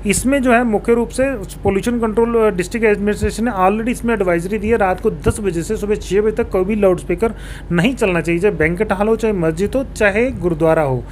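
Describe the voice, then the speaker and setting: A man's voice: high voice, fast talker. A man speaks as a local politician in an interview. He explains things formally and drops in English terms. Some background noise sits behind him. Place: small indoor office, seated on a sofa. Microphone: handheld reporter mic held toward him. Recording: clear background room noise.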